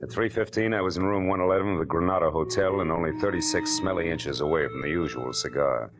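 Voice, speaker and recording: A man plays a hard-boiled American private eye from a vintage radio noir. His voice is deep, his delivery terse and sardonic. Clear music plays behind him. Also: quick pace, close to an everyday voice. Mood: wry narrating. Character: wry, hard-boiled male private detective. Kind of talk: 1940s radio noir narration and acting.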